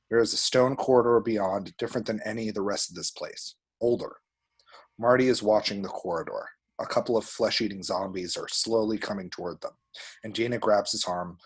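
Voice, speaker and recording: A man with a husky voice reads screenplay action descriptions aloud as a narrator. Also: lowish voice. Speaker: table-read action narrator.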